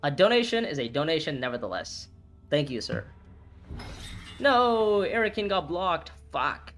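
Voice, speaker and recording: A young man in his twenties calls out his own game live, and his voice sits a little high and leaps around as he reacts. He reasons through each move aloud and blurts out curses when a turn goes wrong. Soft music plays faintly underneath. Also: unhurried. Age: 20s. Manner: excitable, talks through moves, reacts loudly, swears. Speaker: streamer playing a ranked board game. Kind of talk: live gameplay commentary, thinking aloud.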